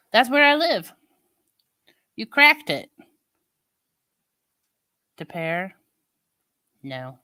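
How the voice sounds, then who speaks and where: Woman, St. Louis accent, streamer in casual chat; slow drawl, bright tense tone. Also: medium pitch, very animated. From Midwestern United States. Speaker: streamer chatting with viewers.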